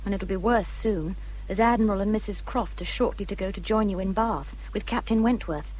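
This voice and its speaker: A woman's voice: mid-range voice, a little fast, darkish tone, close to an everyday voice, poor audio. An actress playing a self-pitying, complaining married woman voicing her letter in a period radio drama. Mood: peevish, self-pitying. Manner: complaining, put-upon.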